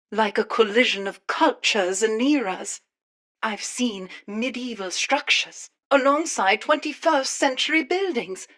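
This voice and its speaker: A grim, thoughtful woman stranded in a nightmare world. Her voice sits slightly high and moves slowly, musing ominously as in a video game audio diary. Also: fairly bright tone. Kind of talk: in-game audio log monologue.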